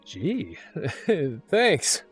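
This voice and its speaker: Man playing a bashful recordist, wildly swinging high pitch. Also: wildly swooping pitch, very slow, husky, faint music behind, full character voice. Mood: bashful surprise. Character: bashful male sound recordist, awkwardly flattered. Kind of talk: audio drama dialogue.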